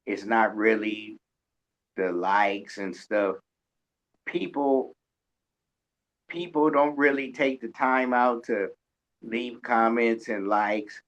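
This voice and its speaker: A man hosting a casual radio interview, talking in a halting way and often repeating words. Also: medium pitch, a bit bright. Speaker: radio show host and interviewer. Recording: dull lo-fi recording.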